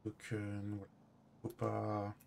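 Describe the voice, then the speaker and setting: A man's voice: lowish voice, monotone, vocal fry, a little mellow, slight background noise. Casual, hesitant Frenchman chatting on stream. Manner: hesitant, halting, trailing off. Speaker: live streamer playing a role-play game. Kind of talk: casual live-stream chat and improvised role-play.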